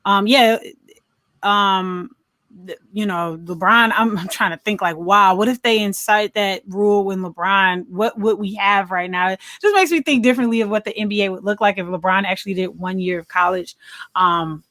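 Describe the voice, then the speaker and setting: A woman's voice: medium pitch, moderate pace, fairly bright tone, no room sound. An American woman co-hosting a podcast, talking casually and feeling her way through her thoughts with lots of filler phrases. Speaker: podcast co-host. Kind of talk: casual movie discussion.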